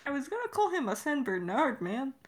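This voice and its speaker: A woman in casual, unscripted chat with a co-creator, giggly and teasing, with an expressive way of talking. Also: high voice, fairly quick. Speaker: audio drama creator answering listener questions.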